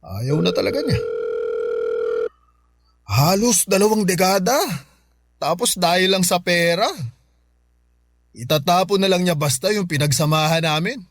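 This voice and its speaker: High-voiced, despairing Filipino uncle. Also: male voice, expressive, a little slow, pauses often, fairly bright tone, dry recording, exaggerated voice. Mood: bitter despair. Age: middle-aged. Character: troubled middle-aged uncle, despairing, agitated, unraveling. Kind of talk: Filipino radio drama acting.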